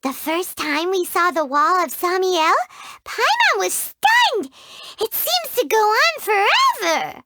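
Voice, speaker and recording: A woman's voice: very high-pitched, big pitch swings, takes it slowly, pushed and bright, full character voice. A woman voicing a tiny, greedy, chatty fairy companion who speaks of herself in the third person, in studio game voice acting. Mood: excited. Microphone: studio mic.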